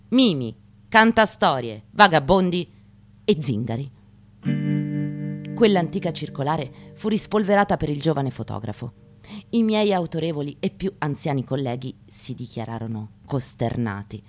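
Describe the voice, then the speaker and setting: A woman gives a dramatic literary reading in a measured, declamatory manner, with deliberate pauses. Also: deep voice. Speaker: actress giving a stage reading. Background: acoustic guitar.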